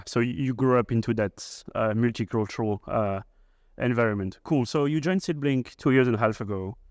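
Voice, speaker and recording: A man's voice: medium pitch, clean tone, no room sound, studio quality. A man hosting a podcast, introducing and questioning a guest with frequent fillers. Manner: frequent "uh" and "you know" fillers. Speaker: podcast host. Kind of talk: podcast interview.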